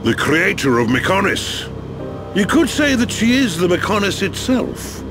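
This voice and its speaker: A man playing a very old, grave machine-being elder in a game cutscene, with a measured, theatrical delivery. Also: high voice, very animated, exaggerated voice. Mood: reflective. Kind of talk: dramatic video game cutscene acting. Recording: voice under clear background music.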